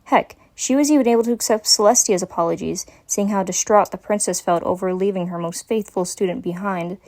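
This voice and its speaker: A woman's voice: medium pitch, fairly quick, a little mellow. A woman giving a dramatic reading of a scripted story as its narrator.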